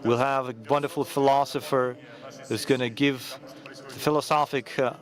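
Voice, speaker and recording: A man speaks at a forum in a mid-range voice, keeping his remarks loose and informal. He pauses often and restarts his sentences as he finds his way. He addresses the room as a journalist organizing the event. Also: medium pitch, level tone. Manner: hesitant, with frequent pauses and restarts. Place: large conference hall.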